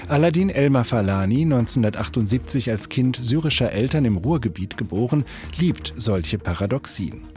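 A male radio host reading a scripted introduction, then interviewing a guest in measured tones. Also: mid-range voice, darkish tone. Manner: measured, sentence prompts.

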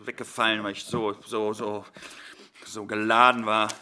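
A man's voice: fairly low pitch, little pitch movement, moderate pace. A man, an actor, chatting casually during an online rehearsal from his home office. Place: home office on a conference call. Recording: reverberant room, faint noise, clicks.

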